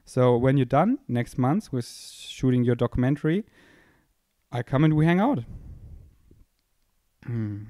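A man's voice: medium pitch, lively intonation, unhurried, long pauses, dark tone, dry recording. Young guy rambling on his podcast, jokey and self-mocking, close handheld mic, dry room sound. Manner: playful, self-mocking, thinks aloud. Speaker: podcast host. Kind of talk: casual rambling monologue. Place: indoor room, seated on a couch. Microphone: handheld microphone held close.

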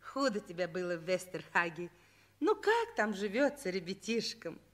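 An actress playing a kind, tender-hearted farm wife with a homely, compassionate manner in a 1960s radio drama. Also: female voice, mid-range voice, very animated, moderate pace, fairly bright tone. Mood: sympathetic.

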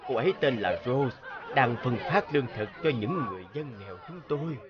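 A man's voice: medium pitch, lively intonation, a little fast, lo-fi sound, crowd noise, natural voice. An actor playing a cheerful, humble old peasant man in a dubbed cartoon. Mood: cheerful, respectful.

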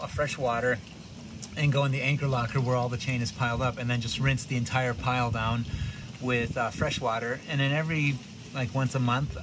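Man, fifties, laid-back sailing vlogger explaining deck chores to camera; loose, chatty delivery full of fillers; outdoor marina take with clear wind and harbor noise. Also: medium pitch. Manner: relaxed, chatty, many ums and restarts. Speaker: sailing vlog host. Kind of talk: casual how-to explanation.

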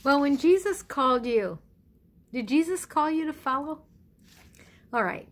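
A middle-aged woman pastor giving a conversational sermon at home in a measured, reflective way with deliberate pauses. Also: sits high, expressive. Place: indoors in a living room at home. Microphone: phone or webcam at arm's length.

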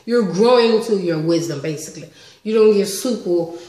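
A woman in her thirties chatting casually on a home vlog, animated and talkative, recorded on a webcam in her living room. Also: medium pitch, normal speed, echoey room. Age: 30s. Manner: chatty, animated with lots of hand gestures. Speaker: vlogger. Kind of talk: casual story-time vlog. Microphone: webcam close up.

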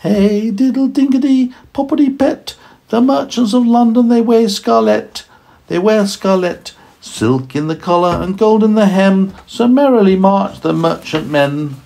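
A man voicing a chorus of tiny merry mice, singing nursery rhymes in a squeaky falsetto with slow sing-song chanting. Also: creaky, dark tone. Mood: merry singsong.

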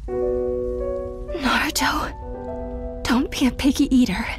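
An actress playing a tender, dying young mother in a video game, weak, breathy, tearful and halting. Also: high-pitched, in a whisper, music in the background. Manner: halting, gentle.

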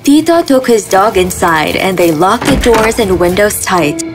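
A woman's voice: mid-range voice, lively intonation, a little slow. A woman giving scripted narration of a fairy tale as the storyteller. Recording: music behind the voice.

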